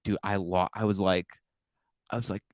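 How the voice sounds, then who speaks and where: Low-voiced rambling comedian. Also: male voice, little pitch movement, moderate pace, no echo, clean studio sound. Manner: rambling, self-deprecating, lots of fillers. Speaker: comedian podcast host. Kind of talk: casual chat.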